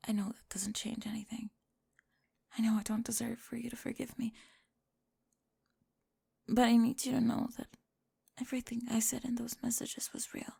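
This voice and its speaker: A woman playing a remorseful, lovestruck high school cheerleader in an ASMR roleplay, breathy and hesitant, often dropping to a whisper. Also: level tone, moderate pace, pauses often, dark tone, heavily acted. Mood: remorseful, vulnerable. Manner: hesitant, emotional, confessional. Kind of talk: ASMR romantic roleplay monologue.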